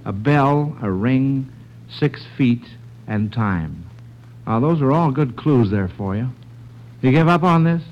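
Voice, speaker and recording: A man's voice: medium pitch, lively intonation, slow pace, muffled recording. An American man hosting a 1940s radio quiz for a panel of children, with a folksy, encouraging manner. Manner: encouraging, folksy, repeats and affirms answers.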